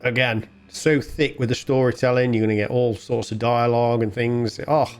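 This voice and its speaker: A man's voice: medium pitch, flat delivery, moderate pace, clean tone. A man hosting a gaming video, chatting casually and thinking aloud as he plays. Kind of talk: casual game play commentary. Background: game music.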